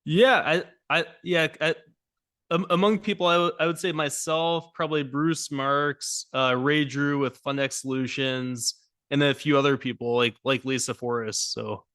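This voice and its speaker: A man speaking as a podcast guest, telling his career story in a brisk, businesslike way. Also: fairly high pitch, fairly even intonation, a bit bright, smooth, no echo, clean studio sound. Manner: brisk, businesslike, runs through lists. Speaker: podcast guest, lending advisor. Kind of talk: casual interview chat.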